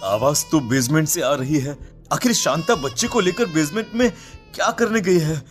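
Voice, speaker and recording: A man playing an anxious, protective husband and father in an animated horror story, dramatic and urgent over background music. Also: on the high side, normal speed, natural voice.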